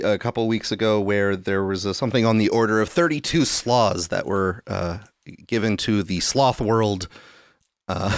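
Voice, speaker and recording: A man hosting a podcast in casual chat, talking in a halting, wry, amused way. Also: fairly low pitch, no echo, studio quality. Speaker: podcast host.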